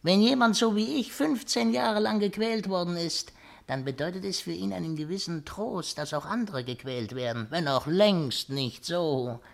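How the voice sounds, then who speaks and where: An actor playing a cruel, bitter little companion to an emperor in a radio play, mocking and theatrical. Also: male voice, high voice, moderate pace, vocal fry. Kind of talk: 1950s German radio play acting.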